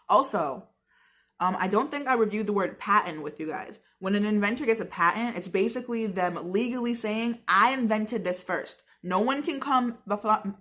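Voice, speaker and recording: A woman's voice: mid-range voice, a bit bright. An American woman reading a book aloud like a teacher, with casual explanatory asides spoken directly to her listeners in a conversational, chatty way. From New York state, United States.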